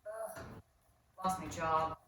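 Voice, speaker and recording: A woman's voice: sits low, takes it slowly. A woman reading the part of a wounded, immature male film-buff dreamer in a comedy table read. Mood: subdued, earnest. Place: live reading in a performance room. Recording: echoey, noisy room, distant mic.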